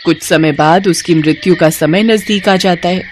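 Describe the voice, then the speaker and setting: A woman's voice: on the low side, moderate pace, darkish tone, faint music behind. A woman narrating a devotional story in a scripted storytelling style.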